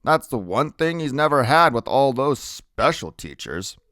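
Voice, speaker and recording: A man's voice: medium pitch, very animated, unhurried, fairly bright tone, dry recording, studio quality. A man voicing an older grandmother who speaks earnestly and pleadingly. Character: older grandmother, worried but hopeful.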